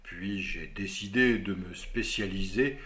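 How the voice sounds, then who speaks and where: A man's voice: deep voice, a bit bright. A man playing a composed army officer candidate. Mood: calm. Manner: measured, formal and polite. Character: composed male army officer applying for a job. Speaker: job candidate in a scripted interview. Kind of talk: scripted dialogue for learners.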